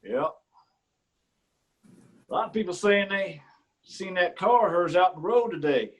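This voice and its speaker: An actor reading the part of a prying county sheriff in a staged play reading, drawling and falsely friendly. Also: male voice, high-pitched, unhurried. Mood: smug. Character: rural county sheriff, middle-aged man, prying and smug.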